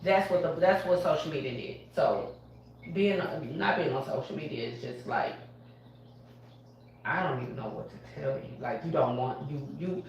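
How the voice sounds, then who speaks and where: A woman, a barbershop owner speaking on a live panel in an animated, emphatic way, often laughing. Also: deep voice, normal speed, slight background noise. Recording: echoey room from far off.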